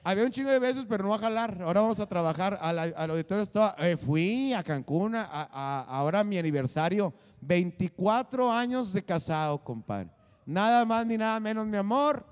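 Rowdy high-voiced comic, 40s. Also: male voice, expressive, normal speed, clean tone, big-room sound. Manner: rowdy, crude joking, many fillers. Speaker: comedian and show host. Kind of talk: casual comedic monologue. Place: small studio set with drum kit behind a desk. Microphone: handheld mic.

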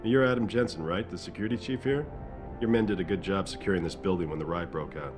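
A man's voice: low-pitched, fast, music in the background, close to an everyday voice. An actor playing an inquisitive man in a video game, speaking in plain dialogue. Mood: approving. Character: inquisitive male official in an office building.

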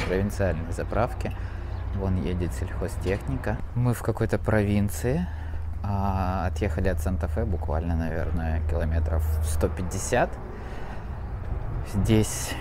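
A man's voice: sits low, noisy background. A man in his thirties casually narrating a road trip as a vlogger, pointing out the sights around him. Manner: easygoing, pointing things out. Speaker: travel vlogger. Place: outdoors by a roadside gas station.

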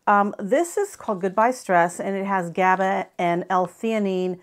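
A woman's voice: mid-range voice, measured pace. A woman in her fifties with an American accent, chatting and explaining as she reviews travel products to camera. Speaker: travel gear vlogger. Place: small furnished living room, indoors.

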